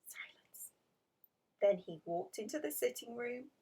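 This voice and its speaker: A woman reading a children's picture story aloud in an expressive, dramatic storytelling manner. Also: fairly high pitch, a little slow, husky, recorded from a distance. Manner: animated, dramatic emphasis with hushed passages. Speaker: storybook reader.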